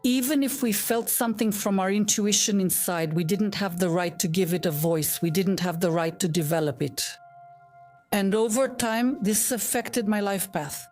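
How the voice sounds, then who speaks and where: A woman in her fifties, originally from Bulgaria, shares her life story as an interview guest. She talks in an earnest, heartfelt, reflective way at an ordinary middle pitch. Soft music sits faintly underneath. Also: moderate pace. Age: 50s.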